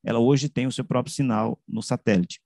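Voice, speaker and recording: A man with a Brazilian accent presents his research from slides in a mid-range voice. He speaks haltingly, restarting and correcting himself and checking in with short tags. The sound is dry, on a video call. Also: medium pitch, mellow, no room sound. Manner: halting, with frequent self-corrections and tag questions. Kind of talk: academic slide presentation, semi-improvised. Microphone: computer mic on a video call.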